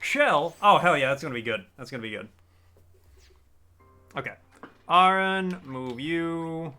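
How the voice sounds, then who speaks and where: Bright-voiced chatty male streamer. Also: on the high side, lively intonation, measured pace, soft background music. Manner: chatty, talks to chat, slightly raised customer-service register. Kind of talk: casual live-stream chat while gaming.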